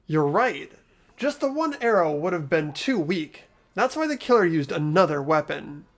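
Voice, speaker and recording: A man's voice: a little high, big pitch swings, moderate pace. A man voicing an earnest teenage boy who patiently reasons out a murder case, in a fan-voiced game. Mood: explaining. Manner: earnest, explanatory. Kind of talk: fan-voiced visual novel game acting.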